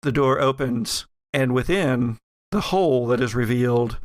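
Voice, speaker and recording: A man working as game master, improvising descriptive narration for a tabletop horror game in a halting, self-correcting way. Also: medium pitch, dry recording, clean studio sound.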